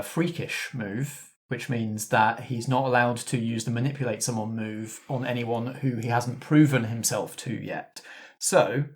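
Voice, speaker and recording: A man acting as game master, casually guiding a group discussion of their tabletop game. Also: medium pitch.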